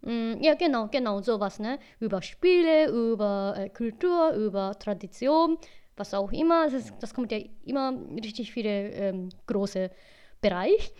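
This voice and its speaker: Japanese woman co-host, clear smooth voice, slightly high, halting German. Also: lively intonation, a little mellow. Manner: hesitant, searching for German words. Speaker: podcast co-host. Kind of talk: casual podcast chat.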